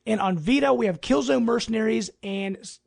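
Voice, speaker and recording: High-voiced man hosting a casual podcast. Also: fairly quick, gravelly, a little mellow. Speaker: podcast host. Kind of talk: casual gaming news podcast talk.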